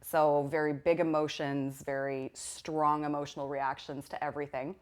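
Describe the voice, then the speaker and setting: Low-voiced talkative woman. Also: little pitch movement, darkish tone. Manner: talkative, candid, quick bursts when explaining. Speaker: registered nurse. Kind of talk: interview testimony.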